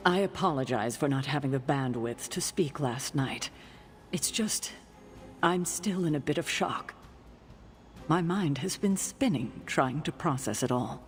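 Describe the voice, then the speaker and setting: An actress playing a troubled, grieving heroine in a video game cutscene, speaking formally with weary self-reproach. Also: female voice, sits low, expressive, measured pace. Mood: shaken, apologetic. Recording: music behind the voice.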